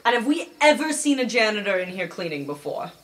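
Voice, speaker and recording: A woman's voice: medium pitch, sing-song, fairly quick. A woman playing a tabletop game, improvising her character's actions in a casual style. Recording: music bed under the voice. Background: background music.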